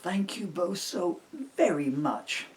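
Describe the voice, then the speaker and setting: A man's voice: sits high, lively intonation, slow, recorded from a distance, a little hiss or hum, voice pushed up. A man voicing an anxious, self-blaming reindeer in a children's storybook, with fretful, exclaiming delivery. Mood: thankful, worried.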